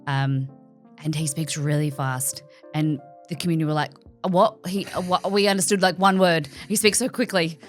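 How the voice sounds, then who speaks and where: A woman's voice: low-pitched, fairly even intonation, normal speed, faint music behind. A woman with an Australian accent, chatting casually as an interview guest about her cooking streams, speaking with careful articulation.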